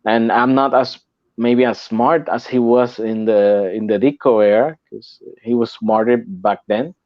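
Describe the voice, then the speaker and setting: A man's voice: mid-range voice, unhurried, dry recording, lo-fi sound. Puerto Rican man, stumbling casual talk. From Puerto Rico. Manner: repeats and restarts words, animated when making a point. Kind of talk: casual podcast chat.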